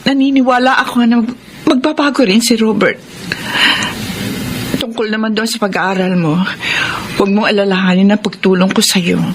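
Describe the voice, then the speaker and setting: An actress playing a selfless, meek wife and elder sister in a Filipino radio drama, with a hesitant delivery. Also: female voice, medium pitch, fairly even intonation, moderate pace, pauses often, natural voice. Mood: gentle, patient. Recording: slightly noisy radio drama ambience.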